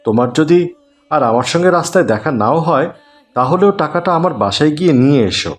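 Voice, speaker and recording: A man as a kindly, lively cartoon gentleman. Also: on the high side, quick pace, close to an everyday voice. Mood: reassuring. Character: kindly, pious, generous middle-class gentleman. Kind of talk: cartoon voice acting.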